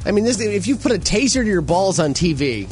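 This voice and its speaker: A man's voice: high voice, soft background music. A man with a nasal Chicago accent hosting a morning radio show, bantering and joking with his co-host. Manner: animated, jokey, quick to laugh.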